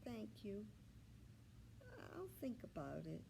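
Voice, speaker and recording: A woman's voice: mid-range voice, big pitch swings, takes it slowly, gravelly, noisy background, voice pushed up, heavily acted. Woman doing a sulky, jealous storybook cat. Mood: softened. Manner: sulky, grumbling. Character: young female cat, jealous, sulky, hungry newcomer.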